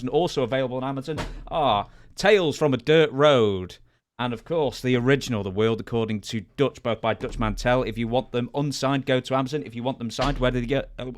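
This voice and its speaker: A man's voice: mid-range voice, no room sound. A man co-hosting a podcast in casual chat, talking in a chatty way and often interrupting himself with asides. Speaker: podcast co-host.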